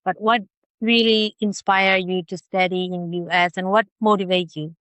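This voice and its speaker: A woman's voice: medium pitch, fairly even intonation, moderate pace, a bit bright, clear, resonant tone, no room sound. A woman hosting a podcast who reads a scripted intro and then asks her guest plain, friendly questions. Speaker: podcast host. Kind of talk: interviewing a guest.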